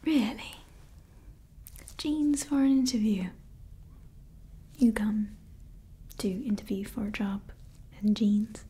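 Woman doing a snooty evil lady scientist, whispery asmr, slow and mellow, kinda high. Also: steady tone, slightly rough. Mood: irritated, scolding. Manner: mostly whispered, scolding and fussy. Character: haughty female evil scientist, scornful of staff, doting on her creation. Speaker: ASMR roleplay performer. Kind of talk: ASMR roleplay. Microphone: close sensitive ASMR mic.

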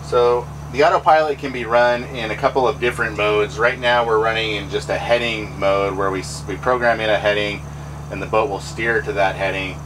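Boat owner, male, lowish voice, easygoing explainer over steady engine noise. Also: a little fast. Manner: relaxed, practical, with fillers. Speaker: boat owner giving a tour. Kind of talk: casual explanatory walkthrough. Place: pilot house of a trawler yacht underway.

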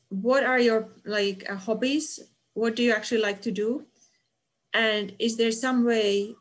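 A middle-aged woman from Finland, a university professor, answering questions from the chat on an online lecture call, with many fillers. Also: mid-range voice. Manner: reads chat comments aloud and answers them, with fillers.

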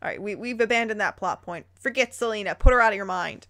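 A woman's voice: mid-range voice, quick pace, fairly bright tone. A woman reading a story aloud dramatically, breaking in with playful, incredulous commentary. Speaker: YouTuber reading fan fiction aloud.

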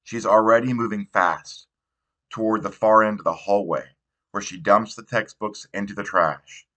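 Male audiobook narrator, slightly low pitch, bright forward tone, reading teen fiction. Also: steady tone, moderate pace. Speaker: audiobook reader. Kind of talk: read narration of a young adult novel.

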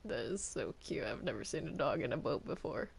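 Creaky voice, lower-register, casual game roleplay, hesitant stammering, repeated words. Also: very animated, a little fast. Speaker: player in a group game roleplay. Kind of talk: casual improvised Minecraft roleplay chat. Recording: noisy home game recording with clicks.

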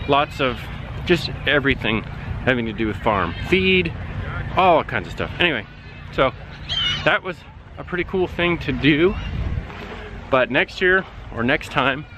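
A man's voice: mid-range voice, expressive, unhurried, pauses often, background noise. A man in his forties chatting casually to camera as a homestead vlogger, recorded outdoors. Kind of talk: casual vlog chat to camera. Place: outdoors, yard and busy farm meet. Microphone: handheld or nearby camera.

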